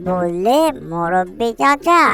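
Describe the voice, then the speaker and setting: A woman's voice: fairly low pitch, big pitch swings, slow pace, a bit bright, smooth, exaggerated voice. A woman voicing an earnest, hurt cartoon banana, plaintive and reasoning as it pleads for respect. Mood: hurt, complaining. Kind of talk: cartoon character dialogue.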